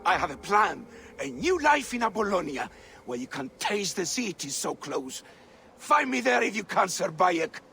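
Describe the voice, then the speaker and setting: An excitable, scrappy little informant in a video game. His voice is extremely high and gravelly, swinging widely with theatrical, pleading melodrama. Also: normal speed, soft background music, exaggerated voice. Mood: subdued, resolved. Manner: melodramatic, indignant.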